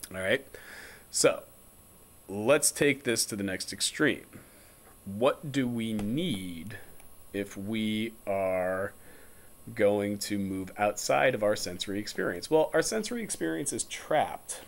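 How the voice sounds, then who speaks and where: Low-voiced young American man lecturing. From Connecticut, United States. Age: 20s. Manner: deliberate, repetitive, checks in with listeners. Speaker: philosophy lecturer. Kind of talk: informal explanatory lecture over slides.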